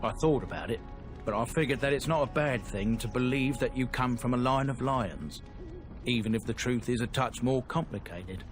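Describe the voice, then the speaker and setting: An actor playing an easygoing, chatty dwarf trader in a game. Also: male voice, mid-range voice, moderate pace, natural voice. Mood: friendly. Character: friendly male dwarf trader. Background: game music.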